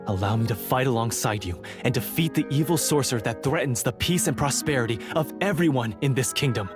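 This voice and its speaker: A man's voice: mid-range voice, expressive, fairly quick, music in the background, lightly acted. A man playing a repentant monster hunter in a video game, speaking earnestly in formal, dramatic phrases. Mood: heartfelt.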